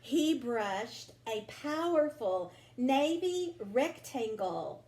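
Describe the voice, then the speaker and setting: A woman reading a children's picture book aloud expressively, drawing out words with deliberate, dramatic phrasing. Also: a little high, big pitch swings. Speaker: storybook reader. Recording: roomy home recording with faint noise.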